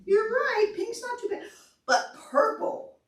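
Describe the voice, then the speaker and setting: A woman voicing a picky, delighted butterfly for small children, musing playfully with drawn-out words. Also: very high-pitched, very animated, voice pushed up, full character voice. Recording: echoey room, distant camera microphone.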